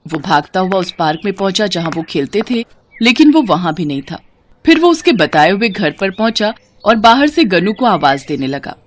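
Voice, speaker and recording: A woman reads a scripted children's moral story. Her mid-pitched voice moves in a very lively range, and there is some background noise on the recording. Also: moderate pace. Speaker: narrator.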